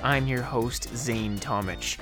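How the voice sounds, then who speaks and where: A man hosting his own podcast, talking casually in a slightly hoarse voice, rambling with fillers. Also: mid-range voice, slow pace, over music. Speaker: podcast host. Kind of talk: casual solo podcast talk.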